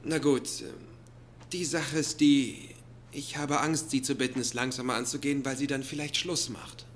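Ein Schauspieler spielt einen ängstlichen, zögerlichen jungen Freund unter dem Pantoffel in einer synchronisierten Sitcom. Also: male voice, medium pitch, steady tone, moderate pace, close to an everyday voice. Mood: anxious, hesitant. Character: anxious, hesitant, henpecked young boyfriend. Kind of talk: dubbed sitcom acting. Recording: noisy TV soundtrack.

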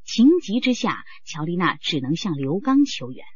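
A woman narrates a radio drama in a lowish, husky voice with lively pitch movement. The scripted delivery is dramatic, in slightly muffled, dry audio. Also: moderate pace, no room sound.

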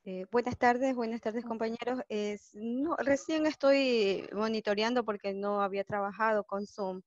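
A woman from Ecuador speaks politely and a little hesitantly as a class participant, her voice sitting slightly high. The call audio is dull and dry. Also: a little slow, dry recording. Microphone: video call.

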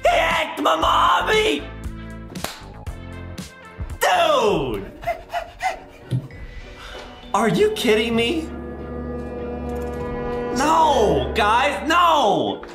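Male gaming host, twenties, playful and over-dramatic, lively swings in pitch, recorded in a roomy space. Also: very slow, gravelly, long pauses. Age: 20s. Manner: exaggerated, theatrical reactions. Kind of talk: playful video game commentary for children. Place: small indoor room. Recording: roomy sound with background music. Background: music.

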